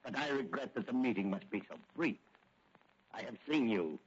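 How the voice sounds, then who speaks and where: A husky, menacing gang chief in a vintage radio crime drama. He commands with theatrical mock politeness and cold sarcasm. The old recording is muffled. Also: mid-range voice, animated, normal speed. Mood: gloating menace. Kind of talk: 1940s radio crime serial acting.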